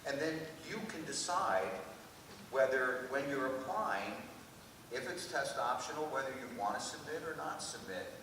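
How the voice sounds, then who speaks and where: A man's voice: mid-range voice, expressive, moderate pace. An American man on a live admissions panel, giving direct, plain-spoken advice to students. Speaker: college admission officer on a panel. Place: auditorium at a public school event. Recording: echoing hall, distant mic, faint noise.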